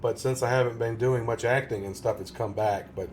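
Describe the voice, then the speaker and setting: A man's voice: medium pitch, steady tone, a little fast, darkish tone, a little hiss or hum. A man with a Tennessee Southern accent, chatting casually and jokingly with viewers during a game live stream. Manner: joking, rambling, chuckles often.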